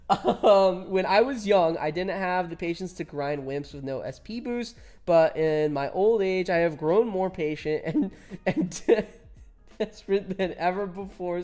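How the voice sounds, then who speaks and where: A man's voice: high-pitched, darkish tone. A man in his twenties chatting casually with viewers while streaming a game, laughing often and reading chat aloud. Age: 20s.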